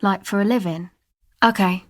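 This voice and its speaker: A woman's voice: medium pitch, normal speed, no room sound, natural voice. A woman voicing a laid-back young woman from a street rave crew in a video game, talking casually in a dry, hesitant, slangy way. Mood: dry, unbothered. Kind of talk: video game character dialogue.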